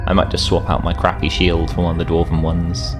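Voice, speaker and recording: Low-pitched man, rambling game player. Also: monotone, fairly quick, music in the background. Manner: rambling, thinking aloud. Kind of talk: casual chat during a tabletop game.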